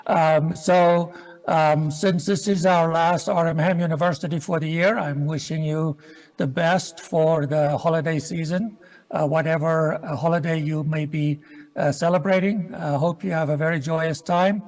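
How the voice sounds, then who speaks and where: A man hosting an event, making unscripted closing announcements with a halting, hesitant delivery. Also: fairly high pitch, fairly even intonation, a little mellow. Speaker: event host closing the session.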